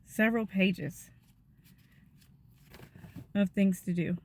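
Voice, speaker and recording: A woman, giving a gentle, chatty devotional talk to her viewers. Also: medium pitch, steady tone, slow, slight background noise. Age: 50s.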